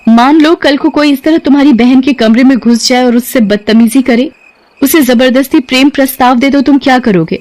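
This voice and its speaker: A woman's voice: high voice, normal speed, a little mellow, pitched above the performer's own voice, lightly acted. Woman playing a firm, principled female tutor. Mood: reproachful, firm. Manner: firm, admonishing. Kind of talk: dramatized audio story acting.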